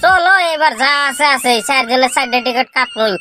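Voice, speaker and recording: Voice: high-pitched, animated, moderate pace, over music. A performer voicing a jobless, boastful young male village slacker in a comic cartoon, grumbling in a rural Bangladeshi dialect. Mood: scheming, eager.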